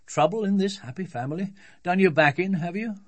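A woman's voice: lowish voice, expressive, brisk, dry recording, voice pushed up. A woman voicing a jovial, confident male family doctor in a children's storybook, hearty and bantering.

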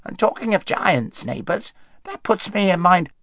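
A man's voice: high voice, animated, normal speed, vocal fry, a bit bright, dry recording, studio quality, pitched above the performer's own voice, heavily acted. A man voicing a chatty, sleepy male hedgehog in a storybook reading, rambling and easygoing.

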